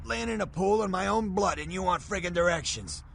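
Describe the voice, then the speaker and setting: An actor playing a wounded, panicky small-time crook in a role-playing game, halting and slangy, bitter and pleading. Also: male voice, high voice, vocal fry, fairly bright tone, a little hiss or hum. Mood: bitter, in pain.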